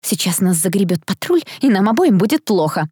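Casting: woman narrator as huffy, bossy girl in her youth, raised pitch, scolding delivery, storybook. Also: sing-song, brisk, warm tone, slightly rough. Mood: urgent. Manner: snappy, huffy, high-pitched. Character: sharp-tongued, bossy young woman scolding her companion.

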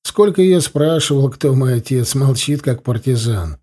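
A man's voice: mid-range voice, expressive, normal speed, dark tone, slightly rough. A man doing an easygoing, wry young watchman. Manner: easygoing and wry, without malice. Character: good-natured young Soviet watchman and loader.

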